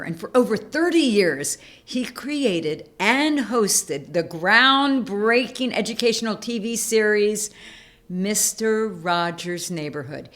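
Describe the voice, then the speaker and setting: A woman hosting and reading children's poems aloud, with deliberate, emphatic phrasing made for young listeners. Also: mid-range voice, fairly bright tone. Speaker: host and poetry reader. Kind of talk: expressive read-aloud of children's poetry.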